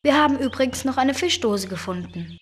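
Children's game: an earnest young kid, fairly high, worried but friendly. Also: female voice, expressive, fairly quick, breathy edge.

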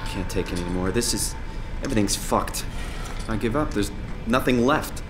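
A man's voice: lowish voice, normal speed, reverberant, music in the background, lightly acted. A man acting a sardonic, despairing survivor trapped in a robotic diving suit for a video game.

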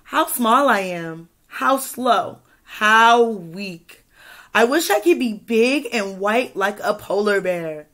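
A woman's voice: mid-range voice, animated, takes it slowly, a bit bright. A woman voicing a small, discontented chameleon in a picture book, wishing wistfully to be like other animals.

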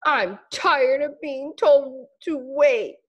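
A woman's voice: high voice, drawn-out words, highs cut off, voice pushed up. A woman voicing an impatient little female caterpillar in a storybook, whiny and pleading.

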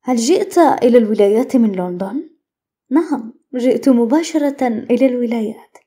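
A woman reads translations very quickly in a brisk, clipped voice. Her tone is mellow and her pitch sits a little high. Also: animated. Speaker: Arabic translation narrator. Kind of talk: scripted translation narration.

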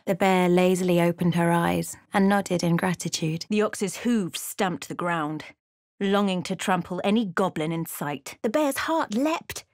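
A woman voicing a solemn adventurer who narrates a fantasy game journey in a measured storytelling manner. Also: on the low side, dry recording, studio quality.

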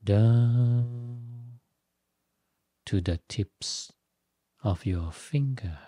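A man leading a guided meditation as a teacher, giving gentle instructions in short phrases with long pauses. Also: mid-range voice, no room sound, studio quality. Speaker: Buddhist monk, meditation teacher.

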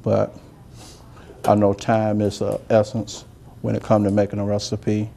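Soft-spoken chef, low and mellow. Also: male voice, monotone, measured pace, creaky. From Georgia, US. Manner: soft-spoken, rambling and homey, talks while working. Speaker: chef and cooking show host. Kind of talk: unscripted cooking demonstration. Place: indoor demo kitchen set.

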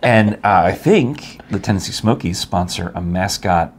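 A man's voice: deep voice, expressive, moderate pace, a little hiss or hum. A man in his fifties hosting a casual studio chat, joking around and reading sponsor details. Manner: jokey, rambling, playful. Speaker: host. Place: small studio set at a table.

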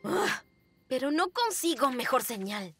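Lively, higher-voiced cartoon mom. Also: female voice, unhurried, soft background music, heavily acted. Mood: wry. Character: caring, fussy, work-minded cartoon mother. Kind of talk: animated children's cartoon dialogue.

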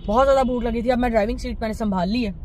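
A woman's voice: a little high, rapid-fire. A young adult woman with a Pakistani accent, chatting casually to viewers as a vlogger. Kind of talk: casual vlog chat. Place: inside a car, on city streets. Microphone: phone at arm's length. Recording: loud car and traffic noise.

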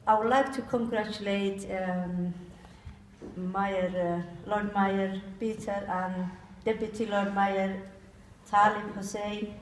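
A woman giving a short speech at a hall event into a handheld mic, halting and restarting her sentences. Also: mid-range voice, steady tone. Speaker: event speaker. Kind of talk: speech to an audience. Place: banquet hall. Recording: roomy hall with faint noise.

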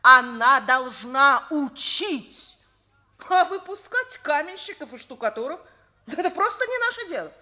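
An actress playing a rigid, principled older schoolteacher, emphatic and lecturing, in Soviet radio theatre. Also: female voice, high-pitched, very animated, unhurried, bright, forceful tone, full character voice. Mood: stern, reproachful.

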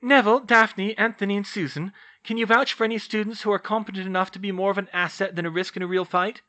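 A man's voice: high-pitched, fairly even intonation, quick pace, sharp tone, pitched above the performer's own voice. A man voicing an earnest, determined young woman student in an audiobook. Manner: insistent, earnest.

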